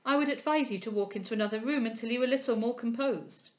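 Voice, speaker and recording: A woman voicing a male doctor who speaks in a measured, reproving way. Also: a little high, lo-fi sound, lightly acted. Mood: stern. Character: male doctor, stern and disapproving.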